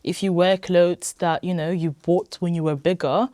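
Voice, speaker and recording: A woman's voice: lowish voice, fairly even intonation, normal speed, mellow, dry recording, clean studio sound. A woman in her twenties from Italy, chatting casually and emphatically as a guest in an interview. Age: 20s. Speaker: podcast guest, weight loss programme member.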